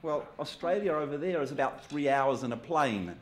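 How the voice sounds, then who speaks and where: A man's voice: fairly high pitch, moderate pace, slight background noise. A man from New Zealand with a New Zealand accent gives a public talk in a conversational, good-humoured way, with light self-deprecating asides. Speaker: guest speaker.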